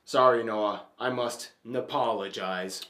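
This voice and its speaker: A man's voice: fairly low pitch, steady tone, slow, fairly bright tone. A man hosting an educational show, narrating with upbeat, emphatic energy and playful asides. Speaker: host.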